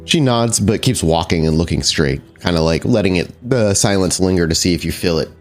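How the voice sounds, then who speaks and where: A man with a very low voice narrates a roleplaying scene as storyteller. He describes actions in a loose, unhurried way. Faint music plays beneath him. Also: lively intonation. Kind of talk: narrating a tabletop game.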